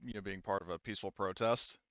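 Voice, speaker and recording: A man's voice: deep voice, steady tone, fast, a bit bright, no room sound, lo-fi sound. A man casually chatting as a game master, explaining things in a rambling, conversational way. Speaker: game master of an online tabletop roleplaying group. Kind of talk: casual chat, post-game discussion.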